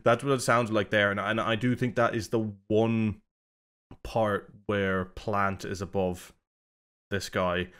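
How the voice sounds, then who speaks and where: A man's voice: lowish voice, little pitch movement, takes it slowly, fairly bright tone, clean tone. A man hosting a music reaction video, talking casually and critically, rambling and breaking off mid-sentence. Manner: rambling, self-interrupting, critical.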